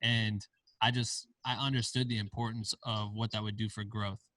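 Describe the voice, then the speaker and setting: Low, flat-voiced man from Iowa. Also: moderate pace, no room sound, far from the mic. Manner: laid-back storytelling with casual slang. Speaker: podcast guest, film director and founder. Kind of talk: casual interview chat.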